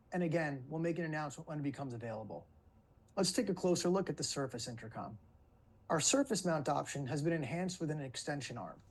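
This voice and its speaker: A man with a slightly husky voice gives a scripted product presentation in a webinar. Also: fairly high pitch, darkish tone, rough recording. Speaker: company presenter.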